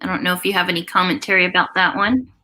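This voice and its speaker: Woman, bright forward voice, slightly low pitch, casual livestream co-host, chatty. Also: little pitch movement, racing.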